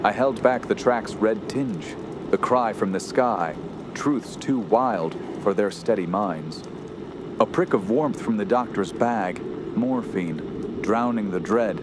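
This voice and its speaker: An actor playing a haunted young wilderness survivor in a radio horror play, grave, solemn and theatrical. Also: male voice, lowish voice. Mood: somber. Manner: slow, grave, solemn, halting when distressed. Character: haunted young male wilderness survivor narrating his terror. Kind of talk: dramatic radio horror narration and acting. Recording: constant outdoor ambience noise behind voice.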